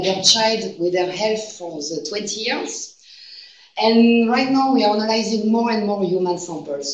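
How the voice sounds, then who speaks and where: French-accented woman presenting in an echoey room. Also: medium pitch. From France. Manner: non-native phrasing with frequent "like" fillers. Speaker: guest researcher presenting a seminar. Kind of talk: academic seminar presentation. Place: seminar room. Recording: echoey room, distant mic.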